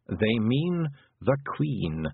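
A man acts out a talking toad from a storybook, a knowing adviser with a husky murmur. He explains things in a measured way. The recording is thin and phone-like. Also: mid-range voice, very animated, exaggerated voice. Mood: knowing, hushed.

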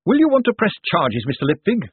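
A man's voice: high voice, wildly swooping pitch, fairly quick, breathy edge, no room sound, pitched above the performer's own voice, exaggerated voice. A man voicing an eager, polite male watch captain in an audiobook, earnest and deferential. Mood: earnest concern. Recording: thin, phone-like band-limited sound.

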